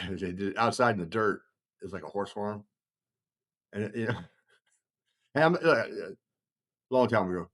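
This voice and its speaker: Low-voiced man rambling casually. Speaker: podcast panelist.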